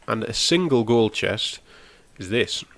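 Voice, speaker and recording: A man's voice: fairly low pitch, lively intonation, takes it slowly, vocal fry, fairly bright tone, no room sound. Nasal man with a cold. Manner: rambling, explains as he goes, frequent ums. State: has a cold, nasal and snotty. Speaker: gaming channel host. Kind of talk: casual gameplay walkthrough.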